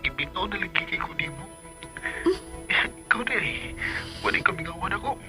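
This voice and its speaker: A man's voice: fairly high pitch, lively intonation, moderate pace, over music, natural voice. An actor playing an ardent, pleading man in love in a radio drama. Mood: pleading, longing. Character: ardent, pleading male lover.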